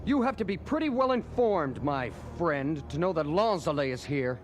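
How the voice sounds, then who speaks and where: Highish, distrustful cartoon rebel. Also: male voice, very animated, normal speed. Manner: challenging, sharp. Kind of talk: animated series acting. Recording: music under the voice.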